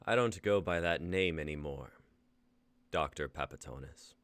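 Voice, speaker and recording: A man playing a battle-hardened young warrior, calm and taunting, in a live script reading. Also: a bass voice, normal speed, dry recording. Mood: cold taunting.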